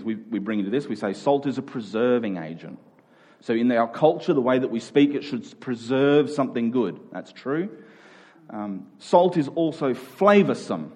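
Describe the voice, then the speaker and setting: A man's voice: medium pitch, dark tone, husky. An Australian man giving a conversational sermon to a congregation in an informal, joking manner with rhetorical questions. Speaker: preacher. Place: live before a congregation.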